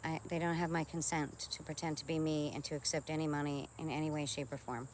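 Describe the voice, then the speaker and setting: A woman live streamer talking informally to her viewers in a halting, repetitive, insistent way. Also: sits low, level tone, darkish tone, a little hiss or hum.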